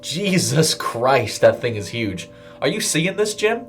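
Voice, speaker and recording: A man's voice: medium pitch, big pitch swings, takes it slowly, faint music behind, voice pushed up. A man voicing a brash, playful woman worker in her early thirties, slangy and teasing, in an audio story. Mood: amazed.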